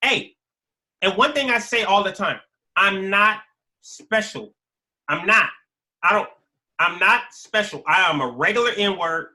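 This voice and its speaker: Bright, forceful American man in his forties. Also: high-pitched, expressive, unhurried, pauses often, low-quality recording. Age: 40s. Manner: emphatic, repetitive, slangy. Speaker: guest, YouTube creator telling his life story. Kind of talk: casual conversational storytelling.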